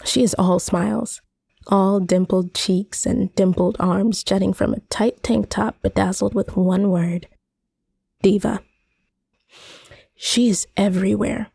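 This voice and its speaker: A woman narrating a first-person short story expressively, with measured, deliberate phrasing. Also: medium pitch, fairly even intonation, vocal fry, dark tone, breathy edge, dry recording, clean studio sound.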